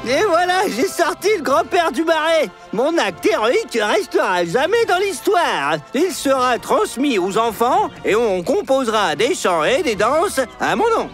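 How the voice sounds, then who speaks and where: Would-be hero dinosaur, very high, swooping delivery, theatrical, vain, animated series. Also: male voice, unhurried, hardly any pauses, soft background music, full character voice. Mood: boastful. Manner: theatrical, self-glorifying. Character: vain, dramatic young flying dinosaur machine, would-be hero. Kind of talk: French cartoon dub acting.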